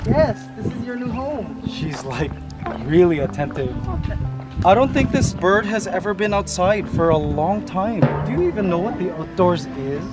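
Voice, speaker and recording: A man in his thirties vlogging on a farm, excitable and chatty, cooing at a chicken in baby talk from a handheld camera. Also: sits high, moderate pace, loud music behind the voice. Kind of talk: casual vlog. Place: outdoors on a farm.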